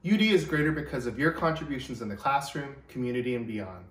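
Alumni guy, quick upbeat congrats, kinda high voice, echoey room at home. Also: expressive. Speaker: alumni association president. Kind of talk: short recorded congratulations.

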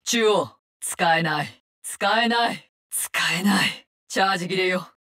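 A woman performs a vengeful female assassin from a tactical video game. Her voice sits at a medium pitch. She delivers short, commanding lines with icy contempt and menace. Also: measured pace, fairly bright tone, lightly acted. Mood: curt, tactical. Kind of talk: video game character barks in Japanese.

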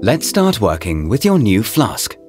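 A man reading a scripted promotional voice-over in short, deliberate, presentational phrases, as a product narrator. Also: fairly low pitch, wildly swooping pitch. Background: background music.

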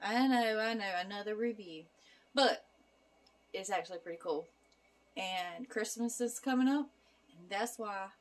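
A woman, chatting casually to viewers at home in a vlog and product review. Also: mid-range voice. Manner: chatty, folksy, addresses viewers as y'all. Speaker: vlogger. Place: home kitchen and living room.

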